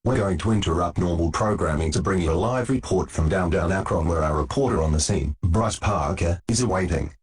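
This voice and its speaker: Man as deep-voiced serious news anchor. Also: fairly quick, hardly any pauses, slightly rough. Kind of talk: formal breaking-news anchoring. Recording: noisy track with clicks.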